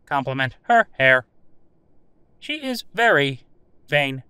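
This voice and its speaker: A man voicing an old sentient AI in an audio drama, formal and literal, with stilted, deliberate phrasing. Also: medium pitch, very animated, sharp tone, clear, resonant tone, dry recording, exaggerated voice. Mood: dry, formal. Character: old sentient AI computer, formal, literal, dryly attempting humor. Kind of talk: scripted audio drama interview.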